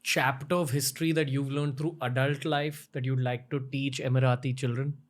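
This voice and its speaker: A man's voice: medium pitch, level tone, moderate pace. A man from India hosting a podcast, asking direct and curious questions in a casual interview. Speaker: podcast host. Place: studio in Mumbai.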